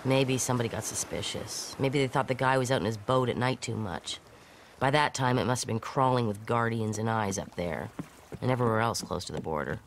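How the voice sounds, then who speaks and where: A woman's voice: rumbling low, a little fast, a little hiss or hum. An actress playing a defiant woman fugitive who recounts her escape in a wry, conversational, matter-of-fact way in an audio drama. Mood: wry, weary.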